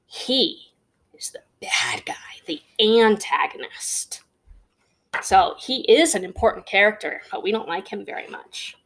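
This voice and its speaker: A woman's voice: medium pitch, expressive, unhurried, fairly bright tone, in a whisper. A woman guiding young children through a storybook, asking simple questions as a teacher would. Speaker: teacher leading a children's read-aloud.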